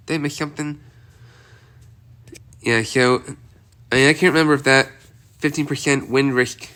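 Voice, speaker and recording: Congested man with a bright voice. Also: medium pitch, smooth. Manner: rambling, repeats himself with many restarts. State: congested from a cold. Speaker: weather video host. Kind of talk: casual weather outlook update.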